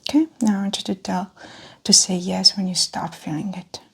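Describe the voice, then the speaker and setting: A woman in an ASMR medical roleplay, speaking in a soft, breathy whisper and calmly explaining each step as the examiner. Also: medium pitch, moderate pace, slight background noise.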